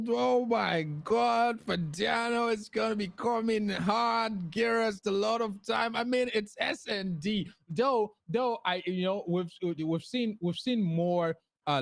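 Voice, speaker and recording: A man casting an esports match with excitable play-by-play, full of fillers and restarts. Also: high voice, vocal fry, no room sound. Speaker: esports caster. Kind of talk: play-by-play commentary.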